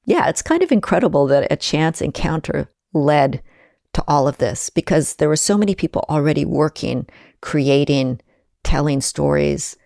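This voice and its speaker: Woman guest on a podcast, bit of vocal fry, thoughtful and measured, dry close sound. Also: deep voice, animated, dark tone, no room sound. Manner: thoughtful, measured, enthusiastic about collaboration. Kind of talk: reflective conversational interview.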